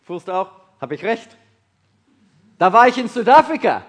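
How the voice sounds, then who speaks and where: South African man preaching in halting German. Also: high-pitched, sing-song. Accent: South African English. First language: English. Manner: halting non-native German with slips, asks the audience questions. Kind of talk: sermon to a congregation.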